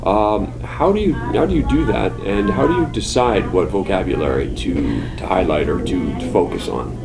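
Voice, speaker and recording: A man's voice: on the low side, expressive, moderate pace. A man asking unscripted interview questions and commenting, hesitant and rephrasing as he goes.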